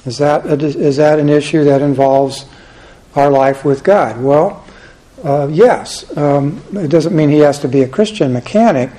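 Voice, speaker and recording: A soft-spoken man teaching a church Bible class and leading a prayer, halting with frequent fillers. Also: on the high side.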